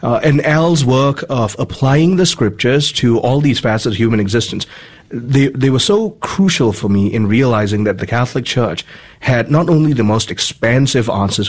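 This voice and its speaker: A man's voice: medium pitch, lively intonation, normal speed. A man from Malaysia hosting a radio interview, talking earnestly and chattily with frequent restarts, into a studio microphone. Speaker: radio host.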